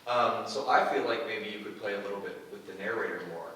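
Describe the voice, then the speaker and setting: A man's voice: fairly low pitch, fast, pushed and bright. A man offering a suggestion from the audience, explaining it casually and without a script. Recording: echoey room, distant mic, background noise.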